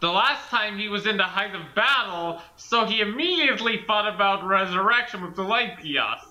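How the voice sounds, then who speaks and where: A man with a high, piercingly bright voice, recorded with noticeable room echo. He comments casually, arguing his points in earnest. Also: animated, normal speed, dull audio. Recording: echoey room sound.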